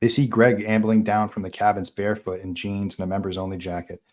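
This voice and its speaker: A man's voice: on the low side, flat delivery, a little fast, a little mellow. A man narrating stage directions at a live screenplay table read.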